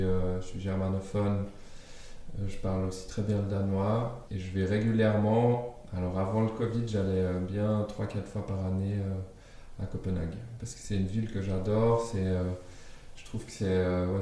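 Low-voiced man in an echoey room. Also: dark tone, recorded from a distance. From Switzerland. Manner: hesitant, many fillers and restarts. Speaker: furniture designer interviewed at home. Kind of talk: casual interview. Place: his apartment.

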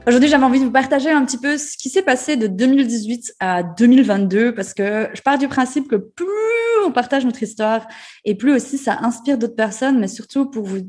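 A woman's voice: fairly high pitch, brisk, darkish tone. A young woman with a Jura Swiss French accent, hosting a podcast and candidly sharing her story in a conversational way, with frequent fillers and restarts. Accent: Swiss French, Jura (Ajoie). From Switzerland, Jura. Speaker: podcast host, nutrition therapist.

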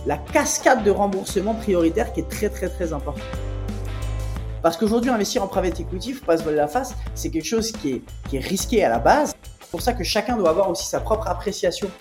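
A man in his thirties from Nice, a podcast guest explaining investing in a lively, casual way with many filler sounds, calling in remotely. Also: sits high, a little fast. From France (Nice). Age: 30s. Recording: remote call, faint music behind.

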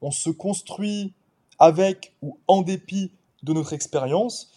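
Young man, twenties, novelist guest, slightly high voice, mellow tone, thoughtful, pauses often. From Paris suburbs, France. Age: 20s. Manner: thoughtful, explains at length with frequent pauses. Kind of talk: casual literary interview.

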